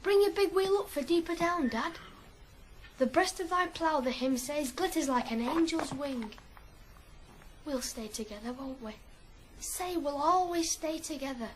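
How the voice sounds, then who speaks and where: High-voiced, stubborn young farm lad. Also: male voice, measured pace. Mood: pleading, tender. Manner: emotional, defiant, earnest. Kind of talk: 1980s radio drama acting. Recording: noisy archive radio drama recording.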